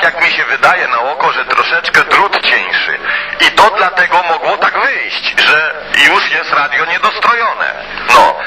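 A man chatting casually over CB radio in a colloquial, rambling way, with mild swearing and filler phrases. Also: a little high. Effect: CB radio transmission. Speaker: CB radio hobbyist. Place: two-way CB radio conversation. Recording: noisy CB radio transmission.

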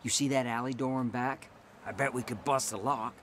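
Radio drama role: defiant teen tough played by a man, bright, forceful, slangy, some noise behind. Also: medium pitch, steady tone, fairly quick, lightly acted. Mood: excited, scheming. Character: defiant, cocky teenage delinquent boy.

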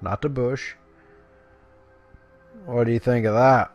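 A man's voice: medium pitch, fairly even intonation, slow, highs cut off. A man hosting a gaming video, casually commentating and reading quest text aloud in a playful way. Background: game music.

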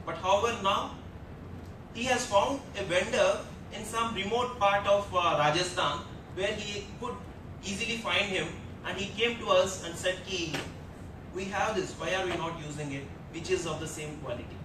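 A man with an Indian English accent speaking on an HR panel from a lectern, earnest and repetitive with many fillers. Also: normal speed. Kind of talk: panel discussion talk. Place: large auditorium stage at a lectern. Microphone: lectern mic heard from a far camera. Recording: echoey hall from far off, rumble.

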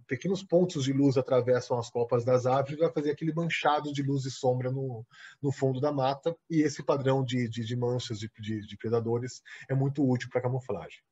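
A Brazilian man giving an online lecture over slides, halting with restarts and "né?" tags. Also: medium pitch, darkish tone. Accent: Brazilian Portuguese. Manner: halting, many repeated words and restarts; explains with frequent "né?" tags. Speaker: guest lecturer. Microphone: video call. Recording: husky, dull lo-fi video call audio.

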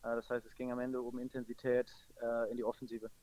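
Fast-talking man, even-toned football coach. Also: mid-range voice, no room sound, low-quality recording. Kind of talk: post-match phone interview.

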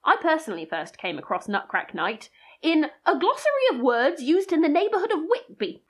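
A woman's voice: sits high, big pitch swings, fairly quick. A British woman co-hosting a folklore podcast, mixing scripted narration with dry, playful banter. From Britain. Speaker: podcast co-host.

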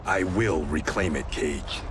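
A man's voice: a bass voice, takes it slowly, noisy background. An actor playing a serious, brooding young swordsman in a video game cutscene, terse and dry. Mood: stern. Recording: game audio with ominous score.

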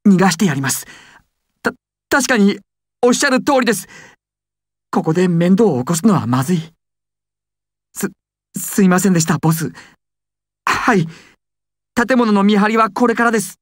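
A woman's voice: mid-range voice, no room sound, clean studio sound, natural voice. A woman voicing a nervous, stammering young male gangster in anime style, servile yet volatile. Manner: stammering, swings from timid to explosive. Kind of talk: anime and game dramatic acting.

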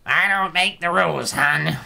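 A woman's voice: sits low, a little slow, creaky, sharp tone, exaggerated voice. A woman voicing female characters in a comic dub, from blunt worker to elf girl. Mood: deadpan.

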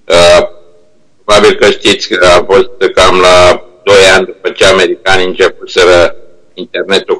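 An older man reminiscing informally over a video call, speaking haltingly as he searches for words. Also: low-pitched, fairly bright tone, poor audio. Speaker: internet company co-founder, remote guest speaker. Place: remote video call played to a meeting room. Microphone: video call shown on projection screen.

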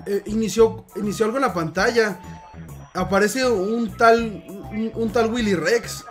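A man's voice: high voice, unhurried. Young man, prank caller, polite and hesitant, acting as a worried customer, music behind. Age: young adult. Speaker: prank caller posing as a father. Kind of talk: prank phone call in character. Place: room at home, indoors.

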